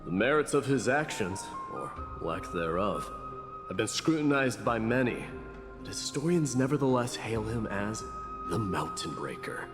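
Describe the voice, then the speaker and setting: An actor playing a brooding, resolute male warrior in a video game, speaking in a measured, solemn storyteller's manner. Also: mid-range voice, huge pitch swings. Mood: stirring, resolute. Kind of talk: dramatic video game dialogue. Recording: long hall reverb, clear background music.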